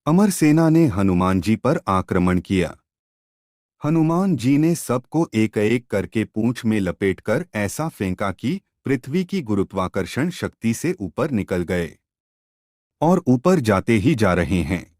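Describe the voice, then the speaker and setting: A man's voice: fairly low pitch, sing-song, slow pace, a little mellow, no room sound, studio quality. A man telling a devotional mythological story as a storyteller.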